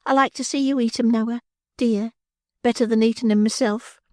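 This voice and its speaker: A man voicing a fawning young serving woman in a coaxing falsetto, reading an audiobook. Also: fairly even intonation, moderate pace, a little mellow, dry recording, clean studio sound. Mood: doting.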